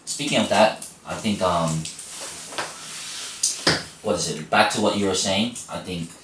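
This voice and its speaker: A man's voice: sits low, measured pace. A teenage man chatting casually and a little hesitantly, searching for his words. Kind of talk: casual chat. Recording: distant mic in echoey room with faint hiss.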